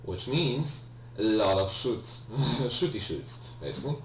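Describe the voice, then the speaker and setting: A man with a low voice talks slowly through a video game as its player and host. He is hesitant and rambling, often correcting himself mid-thought. The recording is echoey, as if the mic sits at a distance in a room. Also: big pitch swings. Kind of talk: casual gameplay commentary. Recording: roomy, distant mic with faint hum.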